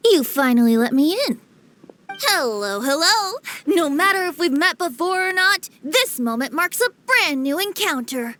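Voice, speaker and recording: A woman's voice: very high voice, sing-song, a little slow, noisy background, full character voice. A woman voicing a playful young male bard in an anime game, with a light boyish voice and flowery theatrical delivery. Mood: cheerful. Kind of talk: anime game dub acting.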